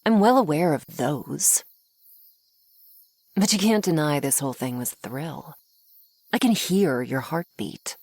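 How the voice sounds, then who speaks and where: A woman's voice: sits low, expressive, unhurried, pauses often, darkish tone, no echo, heavily acted. A woman playing a flirty, sardonic female vampire in an ASMR roleplay, taunting the listener playfully up close. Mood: teasing, urgent. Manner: sardonic, playful, taunting, with pet names and sighs.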